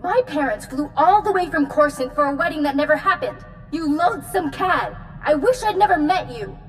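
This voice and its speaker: An actress playing a bitter, accusing jilted fiancée in a sci-fi video game, with indignant, dramatic delivery. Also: female voice, medium pitch, lively intonation, a little slow, a little hiss or hum, close to an everyday voice. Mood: hurt, furious. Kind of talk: video game dialogue acting.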